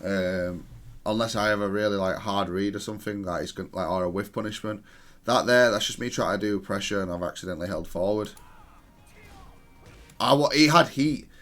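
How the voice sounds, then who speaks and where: A man's voice: lowish voice, moderate pace, fairly bright tone, faint music behind. A man reviewing his own play in analytical gameplay commentary, speaking in a matter-of-fact, self-critical way. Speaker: gamer reviewing own match.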